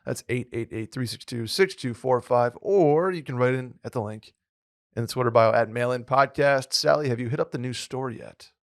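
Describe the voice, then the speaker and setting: A man co-hosting a podcast in casual chat, with a hoarse, slightly nasal voice and a rambling, upbeat way of talking. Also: mid-range voice, moderate pace, no room sound, studio quality. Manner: rambling, filler-heavy, upbeat. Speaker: podcast co-host.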